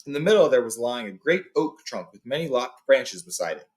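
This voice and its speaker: Animated man reading a story aloud. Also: medium pitch, a little fast. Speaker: read-along host, storyteller. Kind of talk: storybook reading with casual retelling.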